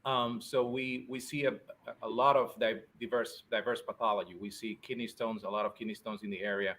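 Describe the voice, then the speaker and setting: A man from Spain, a urologist guest answering interview questions conversationally, somewhat halting and repeating words. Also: fairly low pitch, little pitch movement, fairly bright tone. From born in Spain, Puerto Rican and Spanish family. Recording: faint background noise.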